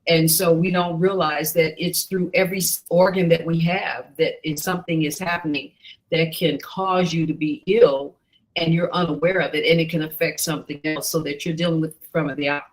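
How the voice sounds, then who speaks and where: A woman's voice: sits low, steady tone, normal speed, low-quality recording. Reflective woman talk show host. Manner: reflective, thoughtful, warmly affirming. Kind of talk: casual interview chat.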